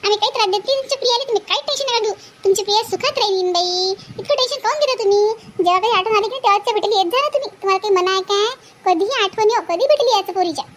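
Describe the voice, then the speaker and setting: A woman plays a chatty, reassuring cartoon mother-in-law with a Varhadi Marathi accent. She rattles on emphatically at great speed in a very high pitched-up voice, over some background noise. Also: rapid-fire, fairly bright tone. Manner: emphatic, repetitive. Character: reassuring, talkative middle-aged mother-in-law. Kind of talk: homemade cartoon family drama.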